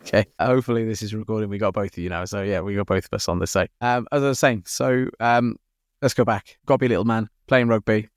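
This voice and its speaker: A man's voice: lowish voice, fairly even intonation, fast, smooth, no echo, studio quality. A man hosting a podcast interview, asking questions and repeating words as he gathers his thoughts. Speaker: podcast host.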